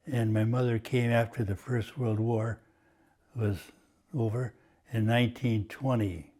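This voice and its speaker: An older man from Washington State, Croatian as his first language, slowly recalling his family's past with frequent pauses. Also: mid-range voice, steady tone, warm tone. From Washington State, USA. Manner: slow and halting, many uh pauses. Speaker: oral history interviewee. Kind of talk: reminiscing, oral history.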